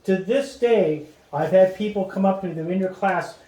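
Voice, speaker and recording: A man's voice: high voice, normal speed, warm tone. A man in casual family chat, teasing the storyteller and chuckling along. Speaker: family member listening. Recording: echoey room with faint noise.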